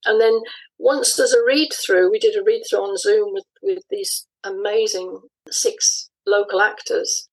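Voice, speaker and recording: Older lady in her 60s chatting in an interview, bit husky, thinks things through as she explains. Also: mid-range voice, steady tone, a little slow, rough recording. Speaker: interview guest, audio drama writer. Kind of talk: reflective conversational interview.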